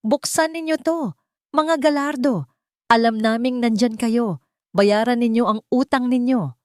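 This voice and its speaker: A woman voicing a menacing debt collector in a story, loudly making threatening demands. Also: on the high side, a little slow, dry recording, clean studio sound, pitched above the performer's own voice, natural voice. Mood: angry, threatening. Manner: loud, threatening.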